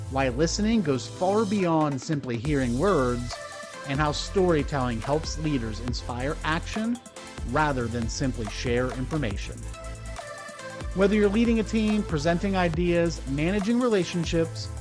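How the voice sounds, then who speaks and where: Man, podcast host, polished upbeat delivery, slightly high pitch, soft music behind. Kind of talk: podcast host intro and interview.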